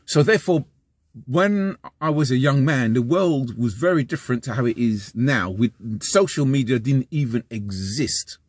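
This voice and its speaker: A West London man in his fifties rambles to camera from the cab of a van, restarting sentences as he goes. His voice sits at a mid pitch and comes across close and dry, with no room echo. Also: moderate pace, husky. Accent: West London English. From London, England. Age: 50s. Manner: rambling, repeats and restarts, animated gestures. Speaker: vlogger. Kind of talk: casual talking-to-camera vlog. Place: inside a parked van, small enclosed space. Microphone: camera close in front of face.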